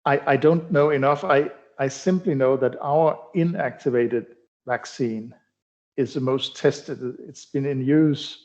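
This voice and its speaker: A middle-aged man from Denmark, a cardiology researcher answering interview questions in a halting way, with many fillers and restarts. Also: mid-range voice, a little mellow. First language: Danish. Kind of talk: conversational expert interview. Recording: dull lo-fi sound.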